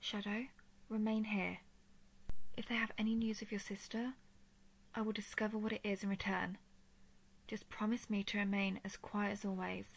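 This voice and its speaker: A woman's voice: medium pitch, vocal fry, rough recording. A woman voicing an affectionate, playful and easily flustered female dragon in an intimate audio roleplay. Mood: hushed, flustered affection. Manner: tender, teasing, halting when flustered.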